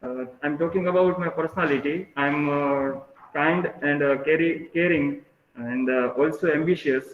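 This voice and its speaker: A twenty-year-old man with an Indian accent, a student introducing himself in a halting, hesitant way. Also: fairly high pitch. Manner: halting, hesitant, restarts phrases. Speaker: engineering student. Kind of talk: spoken self-introduction. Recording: tinny phone-like audio, echoey room.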